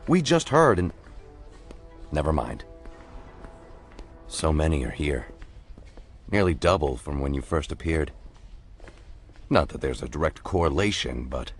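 A man's voice: very deep voice, sing-song, measured pace, pauses often, exaggerated voice. A man voicing a weary middle-aged police commissioner who speaks earnestly and insistently. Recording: faint music behind.

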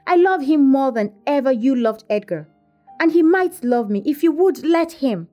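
A woman's voice: fairly high pitch, very animated, moderate pace, highs cut off, faint music behind, voice pushed up. A woman voicing an infatuated, defiant young girl in an audiobook novel, petulant and impassioned. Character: infatuated, passionate teenage girl, indignant and defiant.

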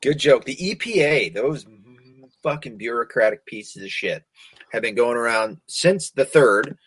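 A man's voice: mid-range voice, expressive, a little slow, fairly bright tone, husky, no room sound, poor audio. A man from the United States hosting a casual talk show, ranting sarcastically, swearing constantly and stumbling over hard words. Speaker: podcast host. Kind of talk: casual profane rant.

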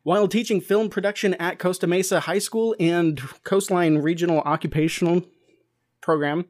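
Man, fairly high voice, podcast interviewer, earnest, formal, scripted read-aloud. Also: steady tone, moderate pace.

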